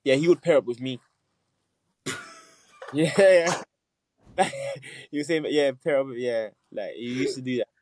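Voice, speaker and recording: A man's voice: mid-range voice, wildly swooping pitch, takes it slowly, long pauses, a little mellow, a little hiss or hum. Laid-back man, Nigerian-born guest. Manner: laid-back, laughs often, says "yeah" and "listen". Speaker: musician guest. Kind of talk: casual interview chat.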